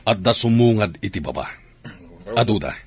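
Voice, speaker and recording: A man's voice: fairly low pitch, lively intonation, normal speed, darkish tone, faint music behind. A man playing a military commander in a radio drama, urgent and commanding in his delivery. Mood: grave, commanding. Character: male military commander leading soldiers into battle. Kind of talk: Ilocano comedy radio drama acting.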